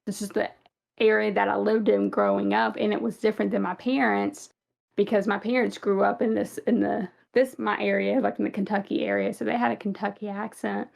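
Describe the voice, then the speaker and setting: A woman's voice: medium pitch, level tone, brisk, vocal fry, darkish tone. A young woman with a Southern US accent co-hosting a casual fan podcast, chatty and rambling with many fillers. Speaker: podcast co-host.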